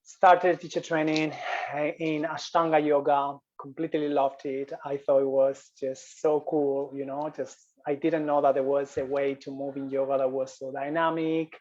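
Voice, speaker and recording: A man's voice: a little high, little pitch movement, normal speed, darkish tone, lo-fi sound. A man from Spain with a Spanish accent, a yoga business coach telling his story as a guest over a video call, often repeating and restarting phrases.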